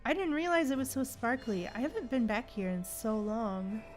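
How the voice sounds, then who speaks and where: A woman's voice: on the high side, moderate pace, faint music behind. A woman chatting casually on a gaming livestream, thinking aloud in an informal, musing way. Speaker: livestream host.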